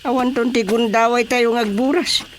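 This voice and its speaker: An actor playing an excitable, grumbling Filipino father with an Ilocano accent in a comedic radio drama, exclaiming and groaning. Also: very high voice, normal speed, a little hiss or hum. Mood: eager.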